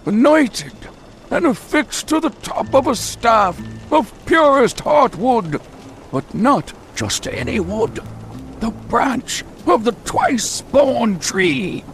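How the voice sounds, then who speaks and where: Radio drama villain: ancient sinister sorcerer, very high voice, drawn-out incantatory delivery. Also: male voice, very animated, fairly bright tone, soft background music, heavily acted. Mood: ominous. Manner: slow, grandiose, incantatory delivery. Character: sinister old male sorcerer leading a dark ritual. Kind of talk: old-time radio drama acting.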